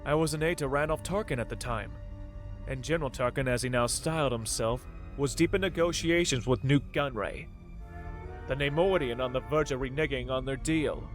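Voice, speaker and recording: Mid-pitched former aide recounting events played by a man. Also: normal speed, natural voice. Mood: reflective. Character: reminiscing former military aide, male narrator. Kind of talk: comic dub narration. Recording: faint music under the voice.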